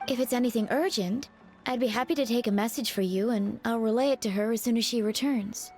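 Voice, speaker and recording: An actress playing a composed, courteous undertaker in a video game, with a formal, measured, discreet manner. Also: female voice, fairly high pitch, a little mellow, soft background music, close to an everyday voice. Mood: helpful. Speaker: funeral parlor staff character.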